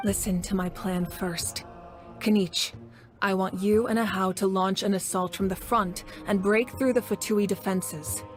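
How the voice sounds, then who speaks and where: Video game commander, played by a woman: calm, authoritative female leader, measured delivery, music underneath. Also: medium pitch, lightly acted. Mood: composed, resolute. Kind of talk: scripted video game voice acting.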